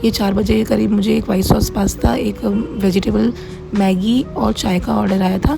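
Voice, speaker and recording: A middle-aged woman narrating a casual home-kitchen vlog in a plain, everyday way, her voice slightly hoarse as if under the weather. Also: medium pitch, steady tone, normal speed. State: mildly unwell, voice a little hoarse. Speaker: home cook running a cloud kitchen, vlogger. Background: background music.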